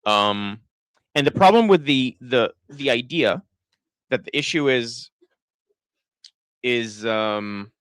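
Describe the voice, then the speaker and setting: Israeli guy who sounds american, crisp clear voice, rambling live commentary w lots of ums. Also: mid-range voice, expressive, takes it slowly, pauses often, a bit bright, no room sound. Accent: American-sounding English. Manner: thinking aloud, many restarts and fillers. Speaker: political commentator. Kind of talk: live-stream commentary reading chat.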